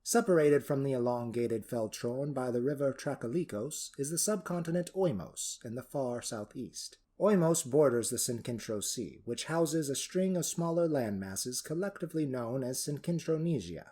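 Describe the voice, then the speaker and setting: A man of middling pitch serves as storyteller for a fantasy adventure. He delivers scripted narration theatrically, shaping each phrase with deliberation. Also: expressive, moderate pace, hardly any pauses, mellow, husky. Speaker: narrator and creator of the story world.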